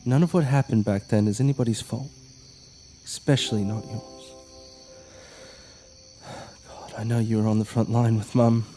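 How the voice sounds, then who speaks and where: Actor as a gruff, casual younger brother, music behind. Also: male voice, mid-range voice, steady tone, normal speed, long pauses, natural voice. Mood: earnest, apologetic. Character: blunt, grudging, easily frustrated little brother. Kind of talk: naturalistic audio drama acting.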